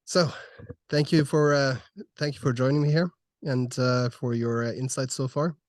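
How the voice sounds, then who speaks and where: A man hosts an interview in a medium-pitched voice. He builds his questions haltingly, pausing often as he searches for the phrasing. The sound is dry, with little room echo. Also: fairly even intonation, darkish tone. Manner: halting, hesitant phrasing with many pauses. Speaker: interviewer, host.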